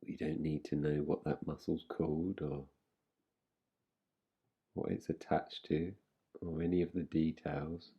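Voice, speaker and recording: Guy doing guided meditation, really slow n soothing, long pauses, kinda muffled recording. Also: a bass voice, little pitch movement, vocal fry, slightly rough. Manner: unhurried, soothing, gentle instruction with long pauses. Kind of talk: guided relaxation meditation, yoga nidra.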